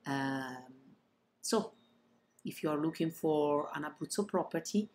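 A woman from Italy with an Italian accent narrates a property tour as an estate agent, in halting non-native English phrasing. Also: sits low, a little mellow, slight background noise.

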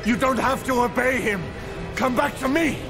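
An actor playing an anguished, defiant crusader, intense and pleading, in a dramatic video game. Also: male voice, very high voice, normal speed, over loud music. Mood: pleading, urgent. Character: anguished, defiant crusader seeking his lost love.

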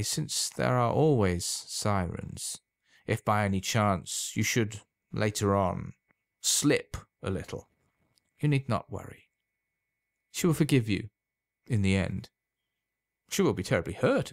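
A man voicing a sly, knowing old potion seller in a storybook reading, unhurried, insinuating and emphatic. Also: on the low side, sing-song, gravelly, dry recording, heavily acted.

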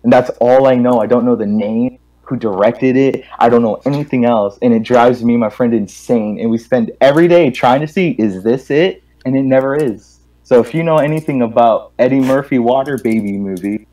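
A young man chatting casually and telling stories in a halting, repetitive way. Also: medium pitch, mellow, low-quality recording. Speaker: guest co-host on a gaming stream. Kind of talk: casual group chat, rambling storytelling.

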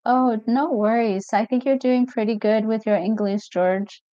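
A woman's voice: fairly high pitch, unhurried, no echo. Middle-aged woman, Ethiopian-born coach, easygoing live-stream host, lots of fillers; lo-fi, dry sound. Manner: relaxed, chatty, reads viewer comments aloud with many fillers. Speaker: mental health coach. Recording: dull lo-fi stream audio, dry.

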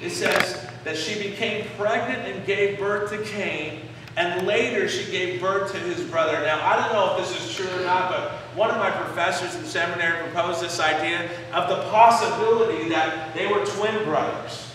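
A man in his forties preaching a sermon in a church sanctuary, explaining a passage with pauses on key phrases, recorded by a camera out in the pews. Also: high voice, fairly bright tone, a little hiss or hum. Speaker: pastor. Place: church sanctuary, indoors, medium-sized. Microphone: far camera in the pews. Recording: echoey church from far off.

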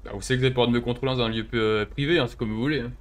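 A man's voice: medium pitch, fairly even intonation, racing. A young man giving casual, cheeky gaming commentary and slangy role-play banter. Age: young adult. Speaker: streamer playing an online role-play game. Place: indoor streaming setup. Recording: faint car and game noise behind.

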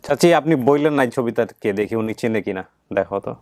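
A man's voice: medium pitch, fast talker, darkish tone, dry recording. A man hosting a reunion show, asking questions in an informal, conversational way. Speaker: host of a family reunion show. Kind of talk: informal interviewing.

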